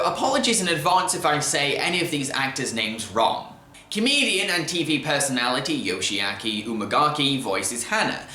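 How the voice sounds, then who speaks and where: A man narrating a scripted video film review in an enthusiastic, expressive manner. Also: medium pitch, slight background noise. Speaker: film reviewer and show host. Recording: roomy, echoey voice track.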